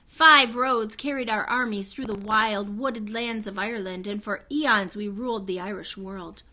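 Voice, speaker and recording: A woman voicing a regal fairy queen in a children's storybook, proud and solemn, with a high, clear, stately voice. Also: fairly even intonation, a little slow, bright, forceful tone. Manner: stately, declamatory. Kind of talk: children's storybook read-aloud.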